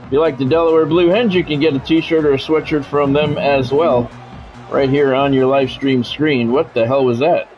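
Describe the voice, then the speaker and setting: A man's voice: medium pitch, fairly even intonation, normal speed, some chatter behind. Guy streaming with sports announcer style calls n casual chat, bit muffled, music in the back. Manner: announcer-like, clipped play calls. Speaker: game streamer calling play-by-play. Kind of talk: sports commentary mixed with casual livestream chat.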